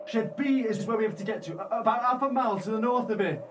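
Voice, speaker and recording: A man's voice: sits high, lively intonation, fast. A man acting an urgent, distant-sounding hotel insider. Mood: insistent warning. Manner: grave, insistent. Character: fearful, urgent hotel insider warning of hidden danger. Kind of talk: radio play acting. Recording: distant mic, noisy outdoor ambience.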